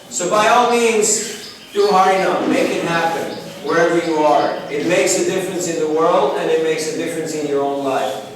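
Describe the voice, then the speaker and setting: A man leading an informal seminar in a conversational, encouraging manner. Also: on the high side. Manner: encouraging, conversational, acts out short dialogues. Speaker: course leader, Hare Krishna teacher. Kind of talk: informal seminar teaching to a group. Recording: echoey room, distant mic, noisy.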